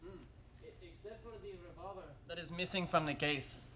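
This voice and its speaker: Man acting a halting, deferential manservant. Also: sits high, sharp tone. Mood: fearful, deferential. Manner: halting, repeating his first words. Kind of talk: radio play acting.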